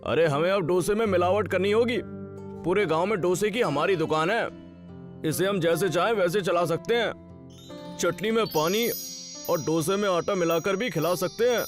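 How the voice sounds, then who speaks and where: Greedy, arrogant village shopkeeper for a cartoon story, played by a man: bossy and scolding, highish voice, music underneath. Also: moderate pace, lightly acted. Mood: scheming. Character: arrogant, greedy, scolding male dosa shop owner. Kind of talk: animated moral story dialogue in Hindi. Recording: music behind the voice. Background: background music.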